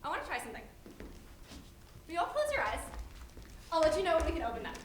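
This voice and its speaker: A woman's voice: very high voice, huge pitch swings, fast talker. A young woman performer delivering a vivid storytelling monologue on stage to a live audience. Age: young adult. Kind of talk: theatrical monologue. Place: theater space with a live audience. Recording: echoey theater with faint noise.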